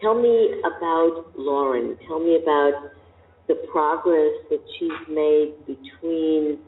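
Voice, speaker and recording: Slow, deliberate woman voice teacher. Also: low-pitched, a little hiss or hum. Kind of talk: coaching conversation. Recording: tinny, echoey hall.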